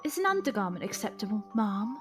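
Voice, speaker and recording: A woman's voice: mid-range voice, very animated, fast talker, over loud music, natural voice. An actress playing a brash, bold, teasing young Victorian match girl in an audio drama. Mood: brash, coaxing.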